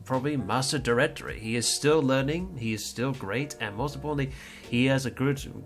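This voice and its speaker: A man hosting a casual livestream podcast, rambling and teasing as he introduces his friends. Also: mid-range voice. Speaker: podcast host.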